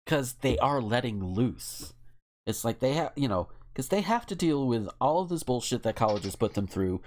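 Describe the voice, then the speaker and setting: A man's voice: medium pitch, very animated, normal speed, darkish tone. A man co-hosting a podcast, talking casually in a rambling, wry and opinionated way. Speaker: podcast co-host. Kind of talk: casual chat.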